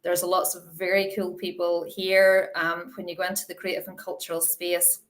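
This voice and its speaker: A woman's voice: fairly low pitch, level tone, moderate pace. A woman with an Irish accent, chatting enthusiastically as a panelist in a live Q&A and laughing at herself. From Ireland.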